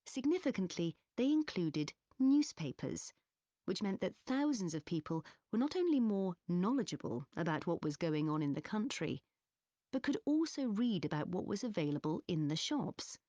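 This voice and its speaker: A woman delivering a scripted academic lecture in a measured, clearly articulated way for a listening test recording. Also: on the low side, big pitch swings, mellow, no echo, highs cut off.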